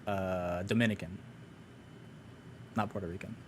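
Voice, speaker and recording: A man's voice: sits low, fairly even intonation, slight background noise. A young man running a tabletop game on a stream, casual and profane, often laughing between rulings. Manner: profane, joking, quick to laugh. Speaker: game master.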